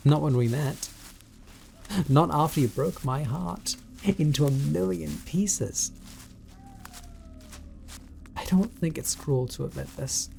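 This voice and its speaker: A man with a British accent playing an obsessive, bitter spurned stalker in a horror audio roleplay, speaking in a deliberate, coldly taunting way. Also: on the high side, creaky, a little mellow, slight background noise. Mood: resentful, wounded.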